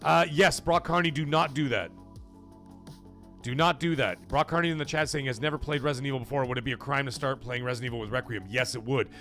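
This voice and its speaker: Give me a rambling, animated man hosting a gaming livestream, with faint music behind him. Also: medium pitch, fast. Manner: rambling, animated, answers chat by name. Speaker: livestream gaming show host. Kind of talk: casual livestream chat reading chat comments.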